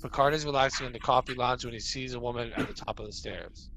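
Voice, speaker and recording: A man's voice: mid-range voice, fast, creaky, background noise. A man narrating stage directions at an amateur table read of a sci-fi script.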